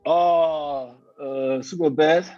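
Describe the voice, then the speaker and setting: A man from Hungary with a Hungarian accent, a muralist guest on a video call, speaking haltingly with many restarts as he searches for words. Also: fairly high pitch, steady tone, darkish tone, no room sound, dull audio, soft background music. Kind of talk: casual interview chat. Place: home, remote video call.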